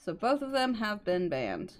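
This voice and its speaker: A woman's voice: medium pitch, wildly swooping pitch, unhurried, slight background noise. A woman livestreamer chatting casually with her co-host and viewers, explaining things politely. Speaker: game livestreamer. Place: indoor streaming setup at a computer.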